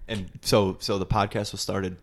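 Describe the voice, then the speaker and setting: A young man co-hosting a casual podcast, laid-back and quick to affirm what the others say. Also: fairly low pitch, level tone, a little hiss or hum. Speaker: podcast co-host. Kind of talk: casual chat.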